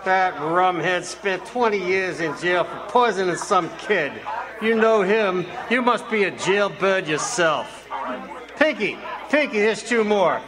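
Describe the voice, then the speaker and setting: A man plays a surly, short-tempered bartender in a radio play. The voice is pitched high and bossy, with a clearly audible crowd behind it in a live performance. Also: lively intonation, a little slow. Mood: scornful. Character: gruff, hostile, short-tempered barroom bartender. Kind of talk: live radio drama acting. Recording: live stage recording with audience noise.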